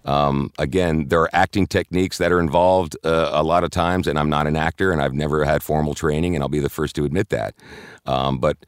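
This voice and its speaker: A man with a deep, very low voice talks casually as an interview guest. He rambles and halts, with frequent fillers and restarts. The sound is dry and close. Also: fairly even intonation, a little fast, clean tone, no room sound.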